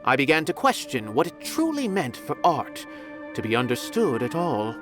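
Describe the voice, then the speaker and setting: A pompous male art connoisseur in a video game. His speech is florid and theatrical, rising and falling widely. Game music plays clearly behind him. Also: mid-range voice, huge pitch swings, normal speed. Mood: musing. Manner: grandiloquent, florid and dramatic.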